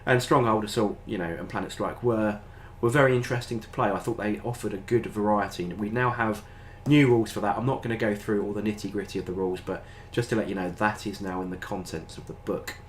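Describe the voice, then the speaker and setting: A man's voice: lowish voice, fairly even intonation, fast talker, hardly any pauses, slight background noise. British man with a stuffy head cold. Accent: British English. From Britain. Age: older. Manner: rambling, frequent ums and uhs. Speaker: tabletop gaming channel host. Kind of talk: casual review talk to camera.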